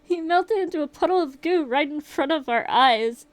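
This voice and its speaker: A woman voicing a shaken young survivor, reading the account aloud in a steady, rueful way. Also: high voice, normal speed, voice pushed up. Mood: grim retelling. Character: shaken young survivor recounting a horror night.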